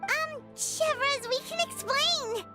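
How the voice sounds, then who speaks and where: A woman voicing a tiny, childlike fairy companion in a video game, squeaky and eager, with a cheerful temper. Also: takes it slowly. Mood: nervous. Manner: refers to self in third person, eager. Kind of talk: animated video game voice acting. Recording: loud music behind the voice.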